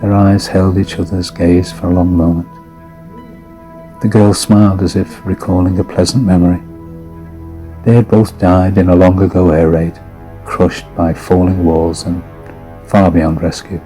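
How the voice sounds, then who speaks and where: A man with a very deep, dark voice narrates horror fiction over music. His storytelling is measured, grave and dramatic. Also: level tone, low-quality recording.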